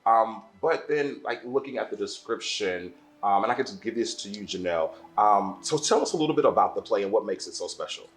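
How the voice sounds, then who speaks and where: A man's voice: on the low side, animated, a little fast, few pauses, a bit bright. A man hosting a conversational magazine interview.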